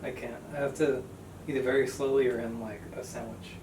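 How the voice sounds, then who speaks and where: A man co-hosting a podcast in casual chat, giving dry, brief deadpan replies. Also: medium pitch, a little fast. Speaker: podcast co-host. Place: home studio room. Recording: echoey room, mic far off, background noise.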